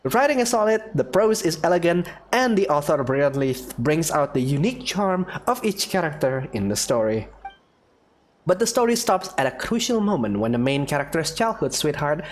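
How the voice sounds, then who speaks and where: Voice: mid-range voice, big pitch swings, moderate pace, a little hiss or hum. A game streamer reading game text aloud expressively, with casual commentary in between. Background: faint music.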